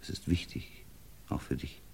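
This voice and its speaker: A man's voice: normal speed, darkish tone, lightly acted. An actor playing a plain-spoken, fatherly villager in an old radio play. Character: homely middle-aged male innkeeper, fatherly.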